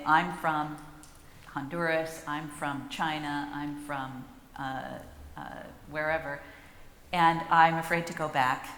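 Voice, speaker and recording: A woman speaking as a panelist, explaining policy in a measured way with frequent fillers. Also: sits low, fairly bright tone, slight background noise. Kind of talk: panel discussion. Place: large conference hall. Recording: roomy hall reverb, faint ambience.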